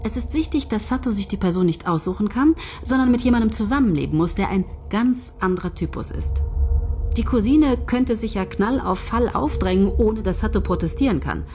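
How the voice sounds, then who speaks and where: An actress playing a confident head writer who steers her team in a brisk, patronizing and persuasive manner. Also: mid-range voice, animated, a little mellow, slight background noise, lightly acted. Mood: bossy. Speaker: head of a soap writing team. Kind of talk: radio play dialogue.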